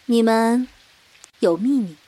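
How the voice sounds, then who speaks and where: Stern, mellow-voiced martial-arts master. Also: female voice, a little high, takes it slowly, no echo. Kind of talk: Chinese period audio drama acting.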